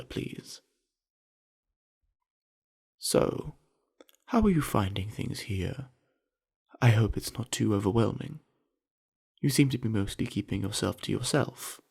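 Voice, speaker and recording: Man playing a deep, gravelly young orc jock. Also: darkish tone, full character voice. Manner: laid-back, easygoing himbo with casual slang. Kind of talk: ASMR roleplay acting, addressed to the listener.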